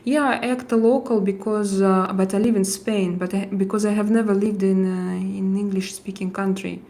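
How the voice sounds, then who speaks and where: A woman with a heavy Russian accent hosting a casual livestream, chatting with viewers and often pausing with hesitant fillers as she searches for words. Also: medium pitch, steady tone, mellow. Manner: hesitant, halting, with frequent "uh" fillers and restarts. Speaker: livestream host. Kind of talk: casual livestream chat answering viewer comments.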